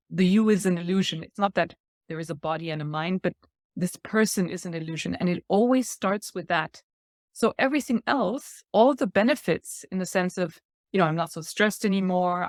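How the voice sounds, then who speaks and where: A woman's voice: fairly low pitch, dry recording, studio quality. A woman in her forties who grew up in Thailand, a podcast guest explaining ideas earnestly and laughing lightly between points. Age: 40s. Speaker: guest speaker. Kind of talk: reflective podcast talk.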